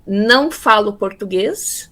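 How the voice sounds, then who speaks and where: A woman in her fifties from Brazil, telling her life story in a relaxed interview, pausing often with fillers and repeated words. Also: medium pitch. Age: 50s. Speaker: interviewee, theology graduate. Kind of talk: casual conversational interview.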